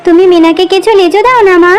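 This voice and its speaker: A woman's voice: very high voice, fast talker. A woman voicing a sweet, eager, earnest little girl in a children's cartoon. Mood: chatty, eager. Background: music.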